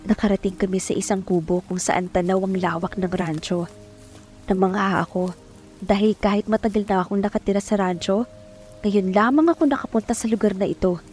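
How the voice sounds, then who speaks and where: A woman's voice: medium pitch, fairly even intonation, a little fast, warm tone, close to an everyday voice. An actress playing a timid, tender young Filipina telling her love story in a romantic audio drama, speaking with a Filipino accent. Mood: dreamy. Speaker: narrator and lead actress. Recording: music bed behind voice.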